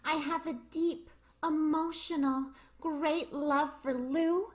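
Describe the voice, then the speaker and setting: A woman's voice: sits high, fairly even intonation, slow pace. A woman playing a flirtatious, lovelorn burlesque queen, dramatic and confessional, in an improvised radio play.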